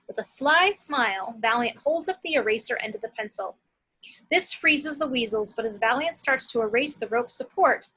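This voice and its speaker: A woman's voice: on the high side, a bit bright, breathy edge, poor audio. A woman narrating action descriptions from a script at an amateur table read.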